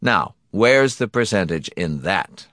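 A man voicing a politely menacing male boss in an audiobook, indulgent and condescending, with drawn-out, mock-courteous phrasing. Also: low voice, big pitch swings, a bit bright, no echo, studio quality, full character voice. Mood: polite menace, annoyed. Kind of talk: audiobook character acting.

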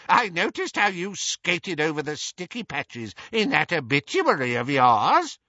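A man voicing a haughty, gossiping great-aunt witch over a hundred years old in a storybook, shrill, screechy and imperious. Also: very animated, unhurried, bright, forceful tone, dry recording, highs cut off, exaggerated voice. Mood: gossipy, needling. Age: over 100. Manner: imperious, blunt, tipsy.

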